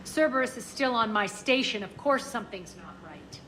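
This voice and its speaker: A woman's voice: on the high side, expressive, moderate pace, over a noisy crowd, close to an everyday voice. A woman voicing a hardened, sardonic crime boss leading a revolt in a video game, blunt and authoritative. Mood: irritated. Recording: loud music over the dialogue.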